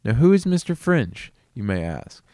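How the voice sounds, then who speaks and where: A man hosting a casual video, chatting about an album in a relaxed, amused, rambling way. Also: medium pitch, normal speed, dry recording, studio quality. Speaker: video host reviewing an album. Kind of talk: casual commentary.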